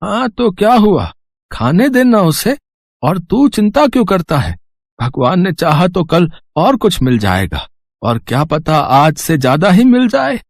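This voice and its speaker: A man playing a poor, humble, kind young beggar in a storybook tale, with a gentle, pleading, deferential manner. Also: high voice, sing-song, measured pace, gravelly, no room sound, clean studio sound, heavily acted. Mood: kind, reassuring. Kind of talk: Hindi storybook character dialogue.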